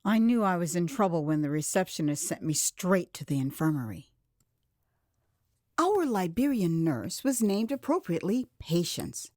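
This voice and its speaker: Female American narrator for first-person audio drama: lively, theatrical storytelling with a dry, intimate sound. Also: fairly low pitch, moderate pace, no echo, faint music behind. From United States. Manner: expressive, theatrical. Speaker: author and narrator.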